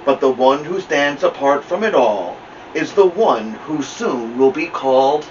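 A middle-aged man reading a children's picture book aloud as a storytime host, with dramatic, deliberate delivery. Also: medium pitch, expressive. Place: indoor room at home, seated by a plant. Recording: muffled, noisy, distant camera mic.

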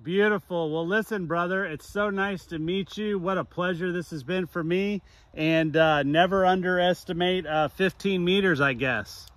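A man in his forties speaks with an American accent in a somewhat high register. His delivery is casual and chatty, that of a new amateur radio operator. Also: normal speed, fairly bright tone, dry recording. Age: 40s. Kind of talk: casual chat.

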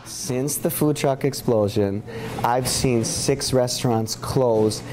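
A man's voice: mid-range voice, takes it slowly, over music. A man in his thirties, a restaurant owner, talking casually in an interview with fillers and a complaining edge. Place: indoors in a restaurant.

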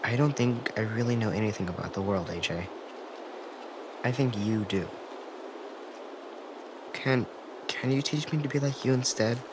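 Guy doing a sad earnest young boy in a video game, halting n subdued, clear ambient noise behind him. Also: mid-range voice, natural voice. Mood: somber, earnest. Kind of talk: dramatic video game voice acting.

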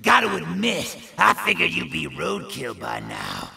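A manic monster henchman from a video game, yelling in a piercingly sharp, screechy voice. The delivery is slow and drawn out, full of heavy gravel. He comes across as a brash, short-fused bully who loves to taunt. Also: on the high side, huge pitch swings, full character voice. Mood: grudging respect. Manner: wild, taunting, slangy. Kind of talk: exaggerated video game villain acting.

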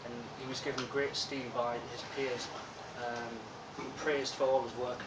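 A soft-spoken young man giving an informal lecture, relaxed and chatty with frequent ums. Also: medium pitch, a little slow. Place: lecture room with projector, indoors. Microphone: far room camera. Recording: echoey room from far off with loud hiss.

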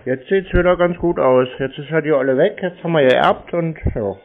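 Laid-back blunt guy chatting on the phone, slightly high voice, short answers, thin phone sound. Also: a little fast, background noise. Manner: blunt, laconic, nonchalant. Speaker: prank call recipient playing a customer. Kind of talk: casual phone conversation. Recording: thin, muffled phone line.

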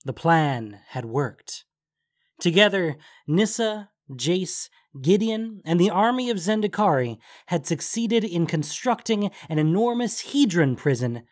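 A man narrating a scripted fantasy story dramatically, with deliberate emphasis. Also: a little high.

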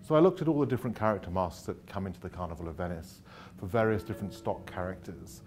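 A man's voice: low-pitched, quick pace, darkish tone. A middle-aged man, a composer, speaking articulately and descriptively in an interview. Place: room, indoors.

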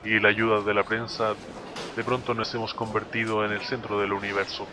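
A man playing a brisk, authoritative middle-aged police captain in a Latin American Spanish video game dub. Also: on the low side, flat delivery, sharp tone. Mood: curt, businesslike. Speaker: fan dub voice actor. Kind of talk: dubbed video game drama dialogue. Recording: noticeable background noise.

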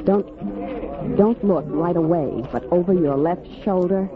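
An actress playing an easily frightened fugitive wife with pleading urgency in a 1950s radio drama. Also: female voice, on the low side, slow, over loud music. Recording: narrow-band vintage radio recording.

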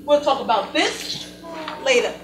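A woman's voice: high-pitched, animated, a little slow. A woman playing a forgiving middle-aged pastor's wife in a gospel stage play, soothing and earnest. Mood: pleading calm. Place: indoor stage set, picked up from afar. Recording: distant roomy stage recording under loud music.

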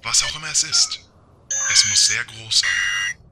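A man's voice: lowish voice, a little slow, heavy background noise. A man playing a polite, literal-minded talking car computer in an amateur radio play, formal and precise. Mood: alert, factual.

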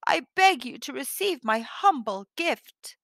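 Woman as humble, high-pitched village father, pleading. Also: animated, unhurried, creaky, a bit bright, no echo, clean studio sound, heavily acted. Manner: pleading, respectful. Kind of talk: storybook character voice.

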